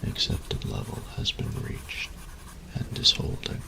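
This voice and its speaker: A man playing a meticulous, reclusive artificer in an audio roleplay, dictating formally and precisely in a gravelly, breathy voice. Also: unhurried, background noise, natural voice. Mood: formal, focused. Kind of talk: scripted audio roleplay acting.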